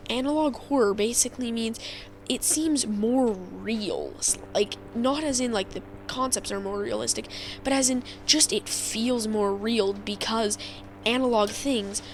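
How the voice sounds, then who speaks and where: A woman narrating a casual video explainer in a halting, rambling, conversational way. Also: a little high, slight background noise.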